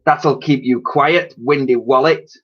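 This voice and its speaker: A man's voice: medium pitch, measured pace, husky, pitched above the performer's own voice. A man voicing a cruel, gloating old woman who runs an orphanage in a children's storybook. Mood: gloating menace. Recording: dull, lo-fi webcam sound.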